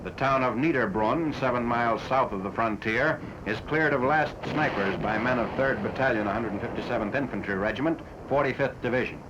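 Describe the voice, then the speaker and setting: A man reading a scripted 1940s military newsreel narration in a formal, factual, measured manner. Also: medium pitch. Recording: dull, noisy 1940s film soundtrack.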